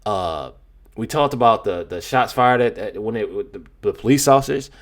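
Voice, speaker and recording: American guy with a lowish voice, reacting casually, repeats himself a lot, slangy and emphatic. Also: animated. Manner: repetitive, halting, emphatic slangy speech. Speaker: reaction video host. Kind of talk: casual political commentary.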